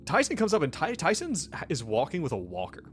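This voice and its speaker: A man narrating casually as game master of a tabletop game, improvising with halting, repeated phrases. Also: on the high side, huge pitch swings, soft background music.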